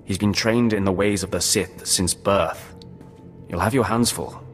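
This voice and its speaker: A gruff undercover military operative from a sci-fi game. He speaks in a deep voice, curt and condescending, with needling sarcasm, over clearly audible music. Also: a little slow. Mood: businesslike. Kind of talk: video game voice acting.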